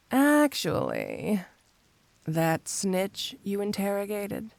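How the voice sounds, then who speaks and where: A woman's voice: mid-range voice, a little mellow, no room sound. A woman playing a flirty, smug supervillain cat burglar in an intimate ASMR roleplay, teasing and coaxing the listener with playful confidence.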